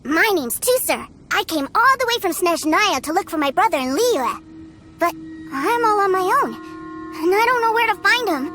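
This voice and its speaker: A woman's voice: very high-pitched, very animated, normal speed, pushed and bright, exaggerated voice. A woman voicing an innocent, cheerful, trusting little boy in a video game, earnest and wide-eyed. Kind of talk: animated video game character acting. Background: background game music.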